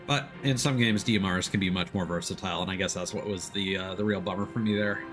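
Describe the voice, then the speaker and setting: Low-voiced man streaming a game. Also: fast, over music. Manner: explanatory, thinking aloud. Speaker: streamer, gamer. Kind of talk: casual live game commentary.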